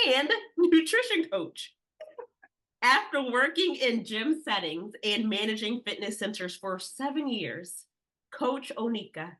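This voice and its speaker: Bright-voiced gushing woman host. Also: mid-range voice. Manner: effusive, gushing with praise. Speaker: podcast host. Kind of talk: enthusiastic interview.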